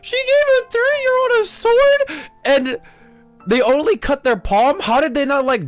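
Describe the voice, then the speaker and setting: Man, game streamer, casual slangy commentary, very animated intonation, faint music behind. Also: extremely high pitch, unhurried, no echo. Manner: slangy, incredulous, swearing.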